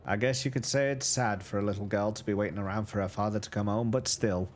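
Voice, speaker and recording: A man's voice: on the low side, steady tone, quick pace, a little hiss or hum, close to an everyday voice. A man putting on a plump, kindly market greengrocer woman, chatty and sighing as she gossips. She speaks with a put-on regional accent in a storybook tale. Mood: gossipy.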